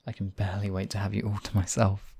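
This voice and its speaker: Guy doing a demon king for asmr roleplay, raspy n gravelly, slow dramatic lover type. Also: fairly low pitch, level tone, mellow. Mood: menacing, possessive. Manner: theatrical, deliberate, endearments, lingering pauses. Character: demon king, menacing captor act turning tender, devoted lover. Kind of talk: intimate ASMR roleplay acting.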